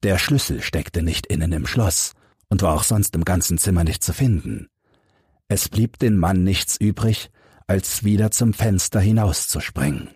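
Deep, husky male audiobook narrator. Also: unhurried, darkish tone, no echo, studio quality. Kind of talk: scripted narration of a detective story.

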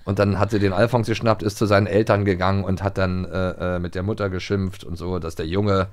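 A man's voice: deep voice, flat delivery, brisk. A man in his fifties co-hosting a podcast, chatting casually and rambling with many fillers and restarts. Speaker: podcast co-host. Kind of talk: casual chat.

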